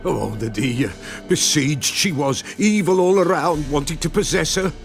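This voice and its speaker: An eccentric old village seer from a fantasy game. His creaky voice swoops and dips as he mutters archaic riddles and repeats himself. Faint music sits behind him. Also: on the high side, lively intonation, normal speed. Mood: cryptic, agitated. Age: older. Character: eccentric, batty old village soothsayer who sees ghosts. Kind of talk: video game fantasy acting.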